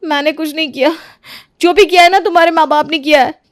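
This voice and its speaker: A scheming young daughter-in-law from a cartoon family drama, acted by a woman. Her voice sits high, and she argues in an accusing, self-righteous, melodramatic way. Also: fairly even intonation, quick pace, no room sound, clean studio sound, natural voice. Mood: indignant. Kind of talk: Hindi animated moral-story dramatization.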